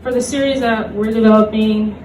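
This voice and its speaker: A woman's voice: fairly high pitch, steady tone, fairly quick. A woman giving an informal convention panel presentation in a casual, hesitant, conversational way. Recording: roomy, distant mic, loud background music.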